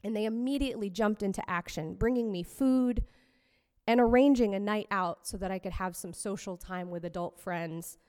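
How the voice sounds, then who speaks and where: A woman minister giving a personal, reflective sermon in a measured way. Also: mid-range voice, a little mellow, smooth. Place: fellowship hall. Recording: long hall reverb.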